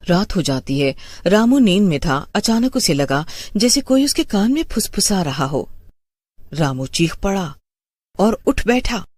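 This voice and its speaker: Slow horror story narrator. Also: mid-range voice, very animated, a little mellow, no room sound.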